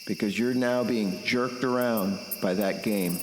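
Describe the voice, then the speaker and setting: A man delivering an intense, blunt, reflective personal monologue with deliberate pauses. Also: on the low side, steady tone. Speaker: podcast host and musician. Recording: roomy echo, long reverb, music underneath.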